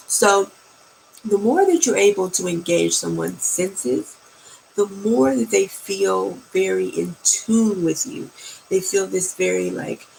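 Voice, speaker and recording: A woman with a naturally low, soft voice, giving dating advice slowly and sultrily and often checking in with "okay?" or "right?". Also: gravelly, slight background noise, low-quality recording. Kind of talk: slow, soft-spoken advice talk.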